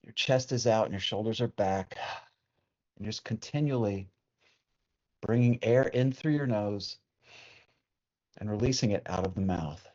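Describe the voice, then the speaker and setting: A man leading a guided meditation as a teacher, speaking in a soothing, unhurried way with long pauses. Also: lowish voice, darkish tone. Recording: dull, muffled lo-fi sound.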